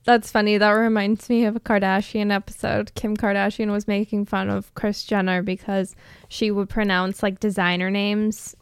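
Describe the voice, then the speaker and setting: A woman co-hosting a podcast in a smooth, clear, mid-pitched voice. She chats easily and laughs often. The recording is dry and close-miked. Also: flat delivery, normal speed, dry recording. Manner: giggly, chatty. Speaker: podcast co-host. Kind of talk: casual chat.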